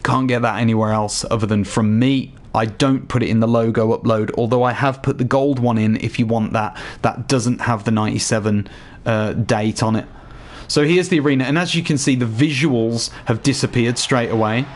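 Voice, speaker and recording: A man with a slightly hoarse voice chatting casually to viewers while walking them through a game showcase. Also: mid-range voice, normal speed. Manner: chatty, addresses viewers directly. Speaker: gaming YouTuber. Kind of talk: casual game tutorial voice-over.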